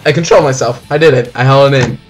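A man's voice: medium pitch, fast talker, soft background music. A man hosting a let's-play, reading visual novel text aloud with exaggerated, dramatic delivery.

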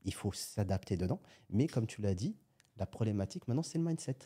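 A man on a podcast with a husky, somewhat low voice, talking fast. He is a guest entrepreneur who tells his points as little acted-out scenes. His tone is dark and mellow. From France. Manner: animated storytelling, acts out little scenes. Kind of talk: casual podcast conversation.

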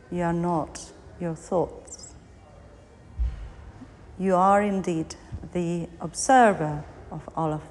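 A woman teaching yoga nidra, guiding a meditation in short phrases with pauses between them. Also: lowish voice, darkish tone. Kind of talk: guided meditation, body scan narration. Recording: long hall reverb, faint background noise.

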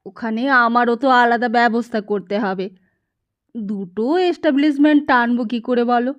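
A woman's voice: on the high side, moderate pace, highs cut off, natural voice. A woman storyteller voicing a meek, henpecked middle-aged husband, hesitant and placating.

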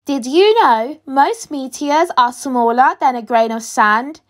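A woman reading a children's picture book aloud with expressive, dramatic storytelling delivery. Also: sits high, takes it slowly. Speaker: storybook reader.

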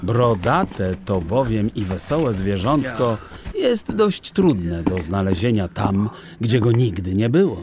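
A man narrating a children's audio story in an expressive, literary storytelling style. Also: on the low side, slow pace, noisy background.

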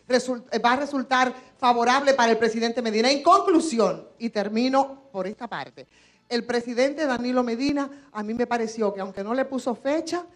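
Insistent high-voiced Dominican woman. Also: normal speed. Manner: insistent, interrupting. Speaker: panelist on a TV talk show. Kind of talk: heated live political debate. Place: TV studio. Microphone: handheld microphone.

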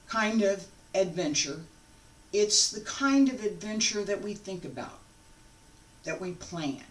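A woman in her sixties giving an informal invitation to camera, speaking deliberately in short phrases with pauses, recorded at home on a distant microphone. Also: mid-range voice. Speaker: founder of a radio drama show. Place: carpeted room at home, indoors. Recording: echoey room, distant microphone.

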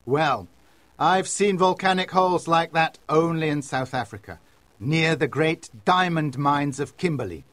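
Man playing a higher-voiced gentleman adventurer. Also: a little slow, no room sound. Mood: confiding, pleased. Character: confident male aristocrat adventurer, genial and brisk. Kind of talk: audiobook character voice.

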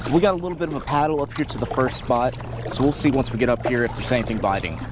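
A young man casually narrating his fishing trip from a kayak, chatting relaxed as he goes. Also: mid-range voice, fast. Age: young adult. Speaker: fishing vlogger. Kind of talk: casual outdoor vlog narration. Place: on a kayak outdoors on a river. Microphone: action camera mounted on the kayak. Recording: outdoor camera audio with water noise.

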